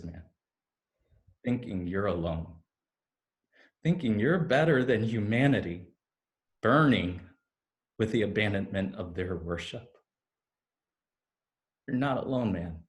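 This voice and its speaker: An actor playing an intense man who urges a lost fairy to remember himself, in deliberate, poetic lines of a staged play reading. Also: fairly low pitch. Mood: challenging. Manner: deliberate, taunting then earnest, poetic. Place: remote staged reading over video call. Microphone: video call microphone.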